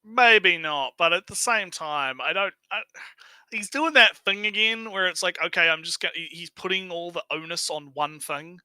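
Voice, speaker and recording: Bright, forceful New man, blunt and sweary. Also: sits high, expressive, normal speed, clear, resonant tone, no echo. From New Zealand. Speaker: stream host. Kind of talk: casual critical commentary on a video.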